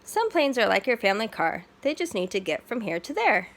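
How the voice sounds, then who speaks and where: Woman as an animated storybook tour guide. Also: fairly high pitch, a little fast. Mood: informative. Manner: explains simply in short declarative sentences. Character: informative, welcoming air show tour guide.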